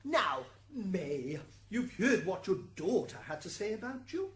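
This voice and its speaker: A woman's voice: mid-range voice, big pitch swings, unhurried. A woman acting a fussy, stammering defence lawyer in an amateur radio drama courtroom. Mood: measured. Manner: stammering, pointed courtroom questioning. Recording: hissy amateur recording, background noise.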